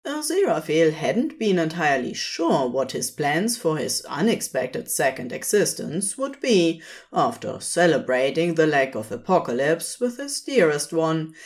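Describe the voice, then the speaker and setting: A woman reading a piece of fiction aloud as narration, in a gentle, expressive storytelling manner. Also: low-pitched. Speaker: podfic reader.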